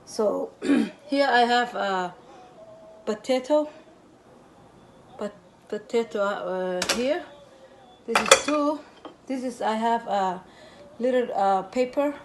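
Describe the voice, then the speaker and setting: A Somali woman with a Somali accent, hosting her cooking channel from her home kitchen in halting English, recorded by a camera a little way off. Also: on the high side, slight background noise. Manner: halting broken English, repeats words while explaining. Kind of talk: casual cooking demonstration.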